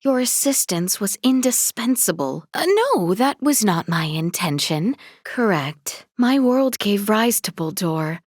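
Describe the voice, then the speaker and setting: A woman's voice: medium pitch, very animated, a little slow, hardly any pauses, no room sound, studio quality. A woman voicing a young noble princess in a video game, earnest and dignified, with formal, polite, precisely worded speech. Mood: dignified, sincere.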